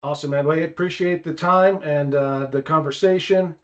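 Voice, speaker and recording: A man's voice: on the high side, fairly even intonation, fairly quick. Casual male podcast host, slightly muffled sound. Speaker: podcast host, climbing wall builder. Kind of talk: casual chat. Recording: muffled, dull lo-fi audio.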